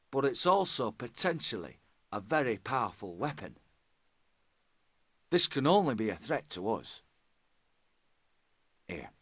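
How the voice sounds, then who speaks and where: An actor playing a gruff, proud old dwarf king in a fantasy video game, commanding and theatrical. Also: medium pitch, a bit bright, no room sound.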